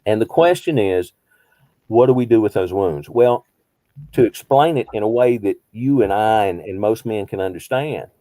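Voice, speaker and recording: 50s guy counselor talking to a group, mellow relaxed voice, keeps asking questions back, dry close sound. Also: fairly low pitch, moderate pace, dry recording. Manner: asks rhetorical questions, repeats phrases for emphasis. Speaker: behavioral health counselor. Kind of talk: conversational group teaching.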